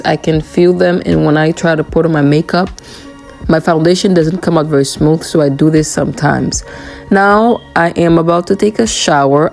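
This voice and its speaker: A woman narrating a voiceover for her morning routine vlog, hoarse from a cold, chatty and relaxed with frequent "um"s. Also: lowish voice. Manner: relaxed, chatty, many "um" fillers. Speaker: vlogger.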